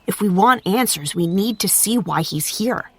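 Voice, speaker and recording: A woman's voice: medium pitch, expressive, moderate pace, a bit bright, husky, dry recording. An actress playing a curious, plucky young woman in an audio drama, eager and inquisitive. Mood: determined.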